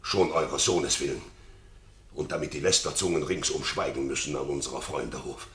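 A loyal, upright courtier in a classical radio play. The voice is low yet incisive, urgent and earnest, shaping formal verse theatrically. It sounds in a clearly reverberant room. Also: male voice, normal speed, fairly bright tone. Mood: torn, earnest. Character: loyal, principled courtier pleading with his king. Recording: roomy studio reverb.

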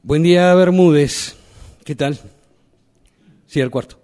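Radio play role: hesitant, courteous man, acted by a man, dark relaxed tone, unhurried, apologetic delivery. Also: on the high side. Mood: awkward, polite. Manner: apologetic, halting. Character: politely hesitant man greeting and advising an acquaintance. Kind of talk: radio theatre workshop monologue.

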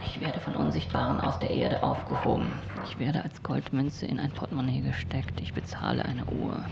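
A man playing a haunted, disoriented narrator of a surreal radio drama, gravelly and speaking in deliberate, broken phrases. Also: medium pitch, wildly swooping pitch, heavily acted. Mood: eerie, detached. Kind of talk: surreal first-person radio drama monologue. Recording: dull lo-fi recording, loud background noise.

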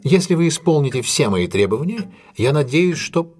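An actor playing a shrewd, ironic gentleman detective in an audio drama, with a husky, measured, commanding delivery. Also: fairly high pitch, sing-song. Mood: confident. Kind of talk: Russian audio drama acting.

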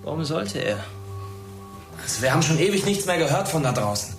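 A man's voice: on the high side, very animated, fairly quick, gravelly, faint music behind. A young man playing an earnest, pushy teenage schoolboy in a naturalistic radio drama, talking colloquially and urgently to persuade. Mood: determined.

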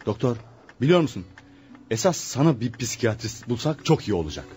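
Animated, teasing man acting a lawyer pal. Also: mid-range voice, a little fast, over music, close to an everyday voice. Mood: amused, mocking. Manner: mocking, familiar, exclamatory. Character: teasing, worldly male lawyer friend. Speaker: radio drama actor. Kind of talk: Turkish radio theater acting.

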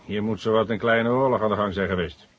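A stern police inspector in a 1970s radio drama. The voice is husky and mid-pitched, full of sarcasm and reproach as he hands out orders. The old recording sounds a little muffled. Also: fairly even intonation, quick pace. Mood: sarcastic, reproving. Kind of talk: 1970 radio drama acting.

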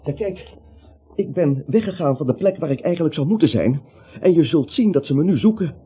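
A man's voice: fairly high pitch, lively intonation, fairly quick, mellow, breathy edge. An actor playing a nervous, bewildered little man in a radio play, dithering, stammering and repeating himself. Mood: wry, bewildered. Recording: muffled old radio recording, faint hiss.

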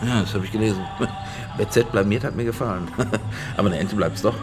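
A man's voice: fairly low pitch, brisk, music in the background, natural voice. An actor playing an imperious, slippery businessman client in a radio crime drama, brusque and insistent, with a joking streak. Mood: amused.

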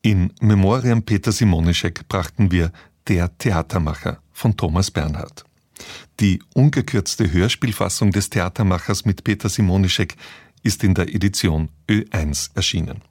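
A man makes a scripted continuity announcement for radio. His voice is on the low side and formal, recorded close and dry with no room sound. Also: normal speed, darkish tone, dry recording.

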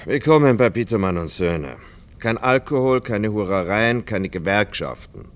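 A man's voice: on the low side, expressive, moderate pace, close to an everyday voice. An actor playing a brusque, strict foreman in a radio drama, curt, clipped and commanding.

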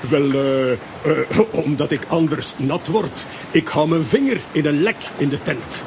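A man playing a bumbling, self-important comic-strip hero in a children's audio drama, fussy and excitable. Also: fairly high pitch, a little slow. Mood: sheepish. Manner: fussy, excitable, repeats himself. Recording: noisy audio with rain behind.

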